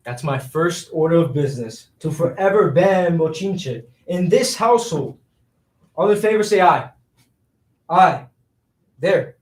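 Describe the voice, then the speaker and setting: Casting: male actor as joking, cheeky out-of-work guy for a stage play reading, slightly high voice, roomy distant sound. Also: expressive, measured pace. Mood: dry, teasing. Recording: echoey room, far from mic.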